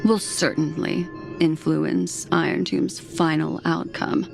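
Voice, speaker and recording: A woman voicing a composed, secretive keeper of memories in a video game, speaking in a measured, formal way. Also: low-pitched, flat delivery, creaky, over music, full character voice. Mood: grave, measured.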